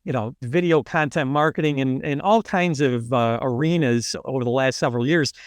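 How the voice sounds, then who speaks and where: A man's voice: medium pitch, normal speed, clear, resonant tone, no room sound, clean studio sound. A man hosting a podcast, reading a polished intro and then chatting loosely with a guest, with plenty of fillers and restarts. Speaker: podcast host. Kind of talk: scripted intro then casual interview.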